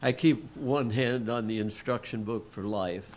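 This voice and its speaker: Middle-aged man, lay pastor, slow and earnest sermon, slightly froggy throat. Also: on the low side, a little mellow, slight background noise. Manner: slow, earnest, folksy preaching with throat clearing. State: frog in his throat, clearing it.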